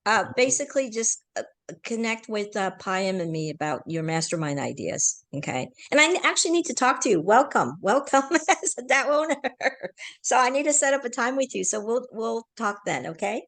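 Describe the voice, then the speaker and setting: A woman's voice: a little high, very animated, moderate pace, a bit bright. A woman chatting informally on a community video call, friendly and a bit hesitant, with fillers. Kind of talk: casual remarks on a video call.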